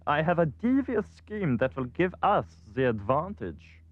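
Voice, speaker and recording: An actor playing a pompous, scheming cartoon villain with a precise, haughty manner. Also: male voice, on the high side, very animated, a little slow, narrow, tinny audio, slight background noise. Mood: smug, scheming. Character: pompous scheming villain with a cold, logical mind.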